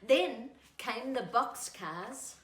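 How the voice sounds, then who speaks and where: Animated, high-pitched woman reading to children. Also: very slow, roomy sound. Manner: animated, singsong, addressing young children. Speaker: storytime host and children's author.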